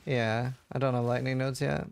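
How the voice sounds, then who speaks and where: A man's voice: medium pitch, flat delivery, normal speed, creaky, clear, resonant tone. A man from Norway, casually chatting and thinking aloud while he streams a game and answers chat questions.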